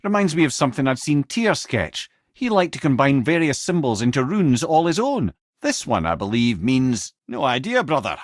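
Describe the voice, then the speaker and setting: A man's voice: medium pitch, very animated, moderate pace, hardly any pauses, no room sound, clean studio sound. A man playing a witty, learned old talking head in a video game, an eloquent storyteller and loyal companion with a Scottish accent. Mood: advising. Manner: wry, eloquent, colloquial storyteller. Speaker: companion and lore-teller.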